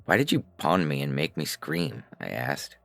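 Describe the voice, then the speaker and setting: Extremely deep, creaky man narrating fiction. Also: very animated, moderate pace, a bit bright, no room sound, soft background music. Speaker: narrator and reader. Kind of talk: scripted first-person science fiction narration.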